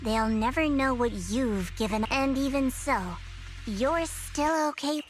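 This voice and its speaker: A woman's voice: high-pitched, a little slow, faint music behind. A woman voicing a cool, focused young female fighter in a video game. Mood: doubtful, probing. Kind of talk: video game story voice acting.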